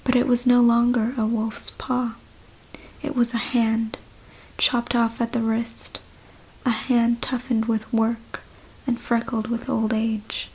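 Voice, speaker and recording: Slow, creaky whispering ASMR woman. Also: a little high, completely flat delivery, low-quality recording. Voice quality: soft breathy whisper with some creak. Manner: hushed, slow, deliberate storytelling. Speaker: ASMR reader. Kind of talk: whispered ASMR story reading.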